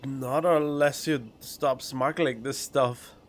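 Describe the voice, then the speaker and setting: A man's voice: mid-range voice, fairly even intonation, slow pace. A man giving casual, off-the-cuff gameplay commentary as a streamer. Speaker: game streamer. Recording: game music behind the voice.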